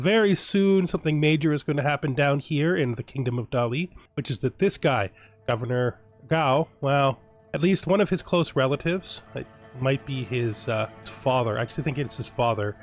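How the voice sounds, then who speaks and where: A man hosts a strategy game video in casual commentary, thinking aloud and stumbling over and correcting his own words. His voice is somewhat high for a man. Soft music runs quietly underneath. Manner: thinking aloud, often restarting and correcting himself. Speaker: let's play host.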